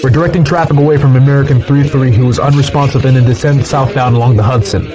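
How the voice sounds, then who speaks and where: A man's voice: mid-range voice, fairly even intonation, fast talker, crowd noise. A man playing a firm air traffic controller in an audio drama, speaking formally over a radio. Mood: firm, urgent. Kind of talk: formal radio procedure acting.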